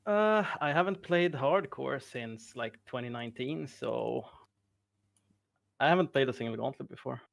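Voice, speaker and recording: Swedish-accented man, easygoing guest, gravelly tone, dry close sound. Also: mid-range voice, lively intonation, normal speed, dry recording. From Sweden. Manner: laughs often, modest and easygoing. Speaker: interviewed tournament winner. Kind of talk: casual interview.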